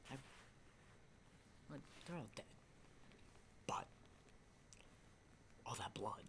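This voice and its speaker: A man playing a battle-hardened, rattled survivor of a zombie apocalypse in an ASMR roleplay, rambling and swearing in hushed, halting speech. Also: mid-range voice, animated, vocal fry, full character voice. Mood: grim, boastful.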